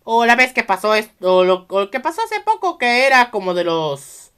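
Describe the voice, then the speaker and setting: Man, Mexican accent, bright forceful voice, very varied intonation, informal podcast host, halting, repetitive. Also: very high-pitched, sing-song, clean tone. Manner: halting, repetitive, often restarting sentences mid-phrase. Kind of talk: informal solo explainer, addressing viewers.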